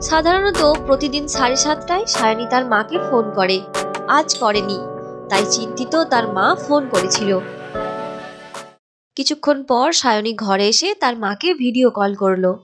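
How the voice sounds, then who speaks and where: Very high-voiced woman narrating a story. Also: expressive, a little slow, music in the background. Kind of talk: scripted cartoon story narration.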